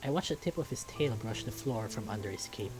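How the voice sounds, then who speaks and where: A man's voice: mid-range voice, animated, fairly quick, over music, poor audio. A man reading a visual novel aloud as storytelling narration, now and then stumbling over a word.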